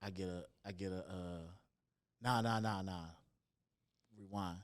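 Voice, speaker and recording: A young man with a nasal voice, a rapper guest in a casual interview, telling stories in relaxed slang on a studio mic. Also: deep voice, unhurried. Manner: laid-back slang-heavy storyteller. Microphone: studio podcast mic near the nose.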